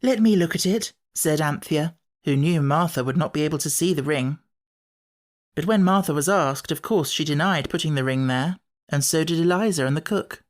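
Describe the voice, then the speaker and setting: A man's voice: a little high, normal speed. A man reading scripted narration for a children's audiobook, as its narrator.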